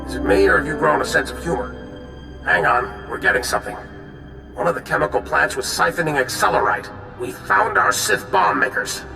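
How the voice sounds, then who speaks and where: A gruff veteran general from a video game, heavily gravelled and a little high for a man. He speaks briskly and with command, in an echoing, distant space with music behind. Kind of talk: video game cutscene dialogue.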